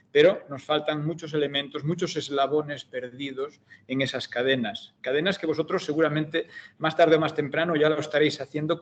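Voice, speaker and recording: A man's voice: on the high side, highs cut off. Galician man giving an academic seminar. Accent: Galician Spanish. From Galicia, Spain. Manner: measured, hesitant with frequent fillers and restarts. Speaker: guest lecturer, optometry researcher.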